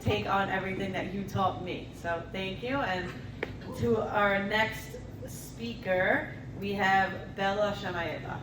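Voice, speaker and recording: A woman's voice: mid-range voice, fairly even intonation, slow pace. A woman, a teacher moderating a school event, introducing speakers in an upbeat, chatty way. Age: adult. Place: school hall. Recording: echoey room from far off, noisy.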